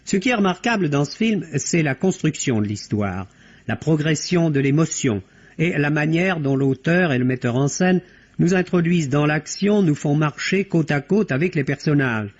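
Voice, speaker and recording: A man's voice: fairly high pitch, animated, moderate pace. A man delivering a formal, articulate, scripted film review as a radio critic. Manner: articulate, formal, literary phrasing. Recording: dull, band-limited vintage radio recording.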